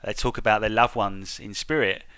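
A man's voice: on the low side, fairly even intonation, moderate pace, bright, forceful tone. A man hosting a casual discussion, feeling tentatively for his words and checking that he is understood. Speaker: interview host.

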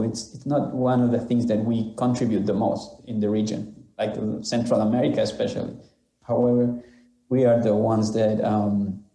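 Honduran-accented male interviewee, native Spanish speaker, reflective and halting, very warm dark timbre, roomy echo. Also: on the low side. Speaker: interviewee in a charity film. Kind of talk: personal testimony. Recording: echoey room sound.

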